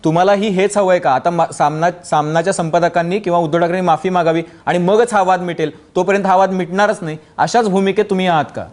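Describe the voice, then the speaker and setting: A man's voice: high voice, fairly even intonation, fast, few pauses. A man hosting a TV news debate, putting probing, insistent questions to guests. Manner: insistent, interjecting hums while guests speak. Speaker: TV debate show host.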